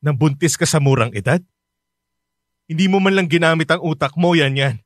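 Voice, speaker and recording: A man's voice: fairly high pitch, fairly quick, no room sound, studio quality, lightly acted. A man playing a stern, anguished working father in a radio drama, emotional and exasperated. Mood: anguished, reproachful.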